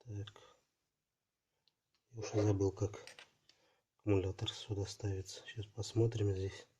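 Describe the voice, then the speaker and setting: A man hosting a casual unboxing video, talking haltingly and thinking aloud while his voice is affected by a cold. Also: lowish voice, little pitch movement, mellow, slight background noise. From Russia. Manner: halting, thinking aloud, many fillers like "so" and "there". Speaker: channel host unboxing parcels.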